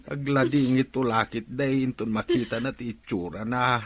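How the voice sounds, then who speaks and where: A man's voice: medium pitch, steady tone, normal speed, natural voice. A Filipino-accented man playing a grave, worried father in a radio drama, speaking somberly.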